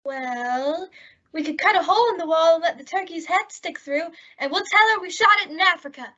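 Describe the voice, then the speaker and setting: A woman's voice: extremely high pitch, expressive, fairly quick, bright, forceful tone, slight background noise, exaggerated voice. A woman playing a sweet, scatterbrained, naive young roommate in old-time radio comedy, earnest and guileless. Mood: innocent. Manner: guileless, earnest, dim-witted delivery. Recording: dull lo-fi radio-style recording.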